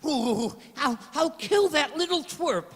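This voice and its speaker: A man's voice: very high-pitched, lively intonation, takes it slowly, a bit bright, heavily acted. A man playing a scheming, hot-tempered gangster henchman in a comic radio play, stammering and slangy. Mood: vengeful. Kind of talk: old-time gangster radio play acting. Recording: very echoey hall recording.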